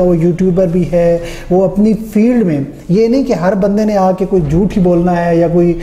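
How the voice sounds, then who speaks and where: Male host with a very dark voice. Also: high-pitched. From Pakistan. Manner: asks long, winding, formal questions. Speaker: interview host. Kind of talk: interview questions. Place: small studio room, indoors. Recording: echoey small room.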